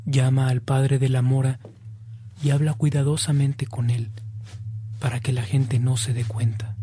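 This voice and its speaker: An actor playing a solemn, restrained storyteller, narrating a radio drama in a measured way. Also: male voice, mid-range voice, level tone, mellow, slight background noise, close to an everyday voice. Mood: solemn, hushed.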